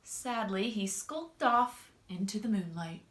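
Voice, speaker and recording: A woman doing an expressive children's read-aloud, drawing out words and speaking straight to young listeners. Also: mid-range voice. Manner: expressive, drawn-out emphasis, addresses young listeners directly. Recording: echoey room.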